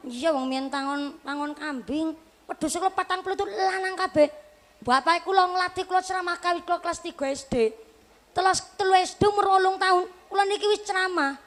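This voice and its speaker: A Javanese-accented woman in her late twenties preaching to a live audience, her voice sitting high in pitch. She keeps things light and chatty, weaving jokes into the talk and speaking straight to the crowd. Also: a little fast, a bit bright. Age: late 20s. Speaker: Islamic preacher.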